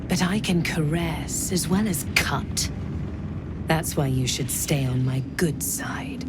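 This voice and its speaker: A woman's voice: deep voice, takes it slowly, heavy background noise. A woman playing a haughty, sadistic cult commander in a video game, commanding and silky with menace. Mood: rapt, seductive. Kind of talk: video game character acting.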